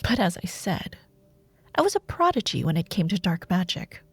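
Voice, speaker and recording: Woman playing a smug dark warlord villainess, dry close sound. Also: lowish voice, sing-song, breathy edge, no room sound, heavily acted. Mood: defiant, imperious. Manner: theatrical, measured, sardonic, with lingering pauses. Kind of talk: roleplay audio drama addressed to the listener.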